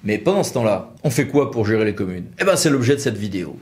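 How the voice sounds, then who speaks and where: Lively French man explaining the rules. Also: on the low side, fast. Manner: didactic, asks questions then answers them colloquially. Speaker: legal explainer presenting a law firm's videos. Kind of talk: informal spoken legal explanation to camera.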